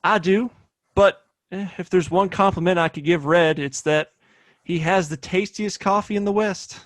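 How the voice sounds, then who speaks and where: A smug, domineering American sheriff of the Old West, acted by a man in a radio-style Western play. His voice sits a little high, and the sound is dry and close. He talks in folksy phrases like someone who owns the town. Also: moderate pace, no room sound, lightly acted. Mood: offhand. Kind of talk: Western audio play acting.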